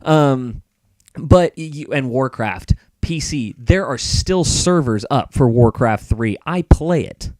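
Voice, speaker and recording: Male, American, podcast film reviewer. Average pitch, dry sound, opinionated and explanatory, conversational. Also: animated, takes it slowly, a little mellow, no room sound. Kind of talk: casual podcast discussion.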